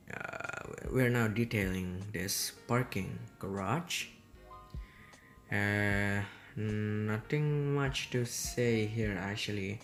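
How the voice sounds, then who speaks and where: Easygoing man. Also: lowish voice. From Indonesia. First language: Indonesian. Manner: rambling, easygoing, hesitant. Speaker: game commentator. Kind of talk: casual gameplay commentary. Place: at home.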